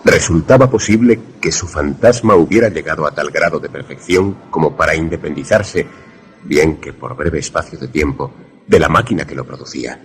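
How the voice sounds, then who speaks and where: An actor as a very deep-voiced troubled narrator. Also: male voice. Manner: grave, measured, literary. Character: troubled man recounting an eerie encounter. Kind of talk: first-person dramatic narration, suspense radio play.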